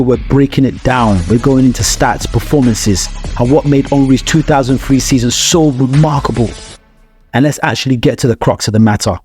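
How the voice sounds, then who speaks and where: A man narrates a scripted sports analysis video in a mellow, warm voice of medium pitch. He stresses his points and poses rhetorical questions to the viewer. Faint music plays behind him.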